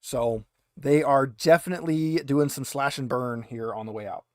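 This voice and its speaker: A man's voice: mid-range voice, moderate pace, darkish tone, no room sound, clean studio sound. A man running a tabletop roleplaying game, narrating conversationally with wry asides. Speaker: game master.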